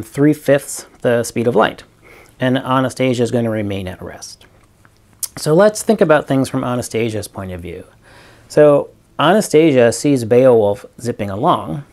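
A man's voice: mid-range voice, a little mellow. A middle-aged man gives a physics lesson to a webcam in a small room. He talks it through step by step and thinks aloud with ums as he goes. His voice sits in an ordinary middle range. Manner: explains with stuffed animals and a toy barn, thinking aloud with ums. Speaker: physics professor. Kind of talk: recorded video lecture with props. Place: small room in front of a green wall.

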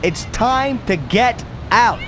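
A streetwise male police contact in a crime video game. His voice is high and very animated, slangy and blunt as he urges his partner. Clear noise sits behind him. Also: takes it slowly, crowd noise, exaggerated voice. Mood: worried, blunt. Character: streetwise undercover cop's worried contact, male. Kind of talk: video game cutscene acting.

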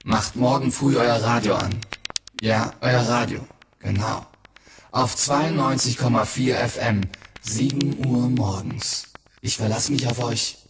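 An actor playing a self-assured, secretive online hero in a radio play, pompous and commanding. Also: male voice, mid-range voice, a little slow, music in the background, natural voice. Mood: confident, commanding. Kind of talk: radio drama acting.

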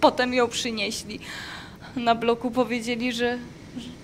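An actress playing a grief-stricken mother in a radio drama, speaking in a halting, anguished way. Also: a little high, flat delivery, natural voice. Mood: grieving. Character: grief-stricken mother of a small daughter in a camp. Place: live performance inside a wooden barn. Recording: faint background noise, barn stage.

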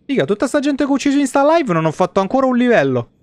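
A man's voice: extremely high pitch, sing-song, very fast, no echo. A man giving casual, foul-mouthed and jokey live game commentary in slangy speech. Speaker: video game streamer.

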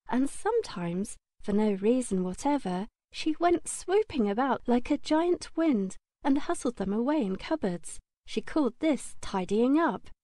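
Mellow woman narrating a children's story. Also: a little high, no room sound, studio quality. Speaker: audiobook narrator.

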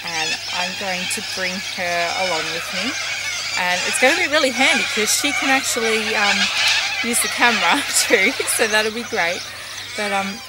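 A young Australian woman talking casually to the camera in a vlog. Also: mid-range voice. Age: young adult. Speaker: vlogger. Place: outdoors on a farm. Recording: noisy outdoor ambience with birds.

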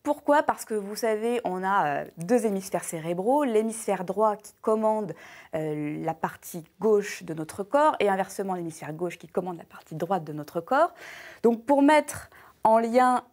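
A woman in her thirties guides viewers through simple exercises on a morning TV show. Her delivery is clear and upbeat, and she lists points in an even, steady rhythm. She sounds close and clean through a lapel mic in a bright studio. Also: mid-range voice, unhurried. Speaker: health and wellness columnist. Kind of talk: morning TV segment, explaining and demonstrating.

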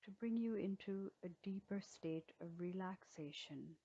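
A woman yoga teacher leading a guided healing meditation in a slow, soothing way with gentle pauses. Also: medium pitch, breathy edge, lo-fi sound.

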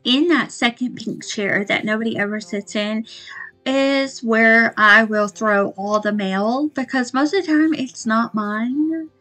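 A woman's voice: on the high side, a little slow, rough recording. A woman, chatting casually to camera in her kitchen about homemaking, rambling with fillers. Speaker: homemaking vlogger. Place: small home kitchen, indoors. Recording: soft background music.

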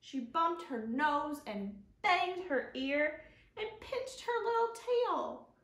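A woman presents a children's storytime in an expressive, child-directed way. Her voice sits a little high and swings widely in pitch, with key words drawn out. The sound is roomy, picked up by a camera a few feet away in a living room. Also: slow. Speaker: children's librarian, storytime host. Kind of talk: storytime presentation and picture-book read-aloud for young children. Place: living room at home, indoors.

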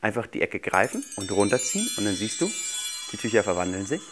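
A man's voice: lowish voice, big pitch swings, fast talker. A man in his thirties, a magician guest on a children's show, explaining things simply to young viewers. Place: small indoor TV studio set. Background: background music.

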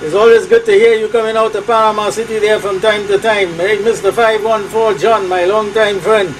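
A man's voice: sits high, fairly even intonation, a little fast, slight background noise, poor audio. A man with a Trinidadian accent making hearty, repetitive radio calls as an operator, in patter full of call signs. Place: small cluttered room at home.

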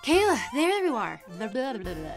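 A woman voice actor delivers script lines in takes. Her voice is very high and music is clearly heard behind it. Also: very animated, normal speed.

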